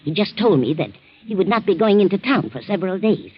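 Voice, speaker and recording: Husky, anxious servant woman. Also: medium pitch, sing-song, fast. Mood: conspiratorial. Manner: hesitant, deferential. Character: anxious, loyal female servant to a wealthy household. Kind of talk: 1940s radio acting. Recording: muffled old 1940s radio recording.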